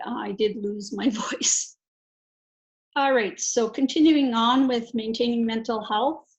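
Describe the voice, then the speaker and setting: A woman presenter talks through practical wellbeing tips in a chatty, meandering way with frequent fillers. Her fairly high voice is gravelly and a little hoarse from allergies. The recording sounds dull and lo-fi. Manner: chatty, warm asides with many fillers. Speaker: mental health professional.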